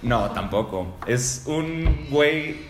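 A man's voice: a little high, lively intonation, takes it slowly. Young Venezuelan man in echoey room. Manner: enthusiastic, slangy, interjects often. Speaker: podcast co-host. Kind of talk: casual chat about music albums. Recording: echoey room with faint music.

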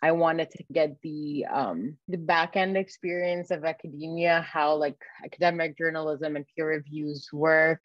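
A woman's voice: deep voice, dry recording, muffled recording. A woman in her twenties from Egypt, answering interview questions as a researcher in an informal, reflective, conversational way. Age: 20s. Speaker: guest, early-career academic researcher.

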